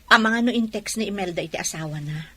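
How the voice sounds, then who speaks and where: A woman's voice: fairly low pitch, fast, sharp tone, husky. A woman playing a patient but resentful mother in a radio drama, with an Ilocano accent. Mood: inquiring, resentful.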